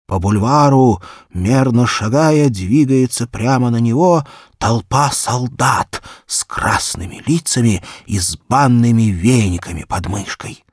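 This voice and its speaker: A man narrating a children's story in an expressive, lively storyteller's manner. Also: mid-range voice, husky, no echo, clean studio sound. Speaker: audiobook narrator. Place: recording studio.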